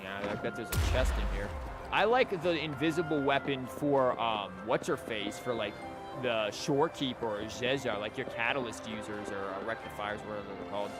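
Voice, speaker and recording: A man's voice: fairly low pitch, moderate pace. A man doing casual, rambling gameplay commentary as a streamer, with joking asides. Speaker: game streamer. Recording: loud music behind the voice. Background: game music.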